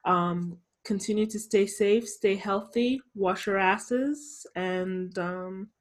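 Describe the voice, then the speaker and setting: A woman hosting a casual podcast chat, speaking in a slow, reflective way. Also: medium pitch. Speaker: podcast host.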